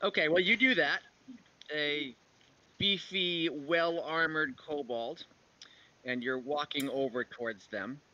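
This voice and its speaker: A man acting as game master, narrating an online roleplaying game in a halting, improvised way. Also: a little high, bright, forceful tone. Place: home room, online video call. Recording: dull lo-fi video call.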